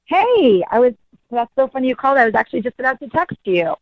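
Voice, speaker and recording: A woman's voice: sits high, big pitch swings, fast, no room sound. A woman chatting casually over the phone on a radio show. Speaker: person called on phone. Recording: muffled radio phone line, dry.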